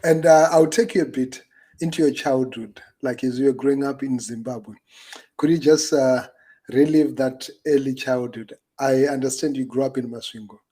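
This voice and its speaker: A man's voice: mid-range voice, steady tone, normal speed. A man hosting an online interview, asking questions with hesitant restarts and fillers. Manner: hesitant, repeats words, gets louder on welcomes. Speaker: show host.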